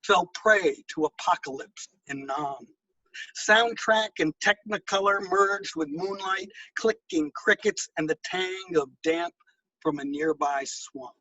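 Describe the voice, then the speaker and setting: A man reading his own poetry and introducing it, with a measured, deliberate delivery. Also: sits high, big pitch swings, creaky, sharp tone, breathy edge, no echo, muffled recording. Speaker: poet reading own work.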